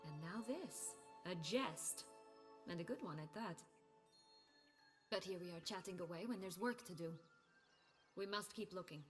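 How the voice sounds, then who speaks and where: A woman's voice: fairly low pitch, unhurried, pauses often, close to an everyday voice. A woman playing a proud, wry, embittered adventurer in a fantasy video game, with a guarded, sardonic manner. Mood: amused. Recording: reverberant, music behind.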